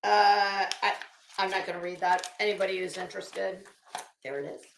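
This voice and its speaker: A woman's voice: mid-range voice, level tone, normal speed, gravelly, echoey room, slight background noise. A woman livestream host giving casual, sardonic commentary with theatrical, emphatic readings. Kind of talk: casual commentary with dramatic reading of a legal document.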